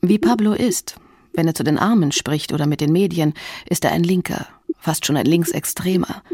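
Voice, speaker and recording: A woman reading a dramatic first-person voice-over translation in a measured storytelling manner. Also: lowish voice, dark tone, breathy edge, dry recording. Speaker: voice-over for an interviewed TV presenter.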